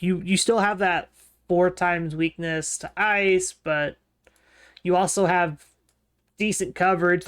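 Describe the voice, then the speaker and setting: A man co-hosting a casual podcast, thinking aloud in a relaxed way with frequent ums. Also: on the high side, clear, resonant tone. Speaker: podcast co-host.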